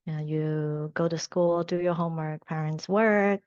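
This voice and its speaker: A woman from Taiwan, a creative arts therapist, speaking reflectively in an interview with many pauses and fillers. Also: sits low, fairly even intonation, a little mellow, smooth, dry recording, muffled recording. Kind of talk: interview conversation.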